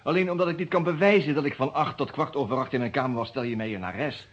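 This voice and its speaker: An actor playing an agitated, indignant lawyer suspected of murder in a vintage radio play, husky and emphatic, with a Netherlands Dutch accent. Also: male voice, medium pitch, fast talker, lightly acted. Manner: excitable, emphatic, repeats words. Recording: dull, muffled old radio recording.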